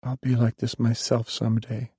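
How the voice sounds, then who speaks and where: A man voicing a worried young woman who loves the warlock, soft and gentle, in an audiobook reading. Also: medium pitch, monotone, normal speed, slightly rough, dry recording, voice pushed up. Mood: tender.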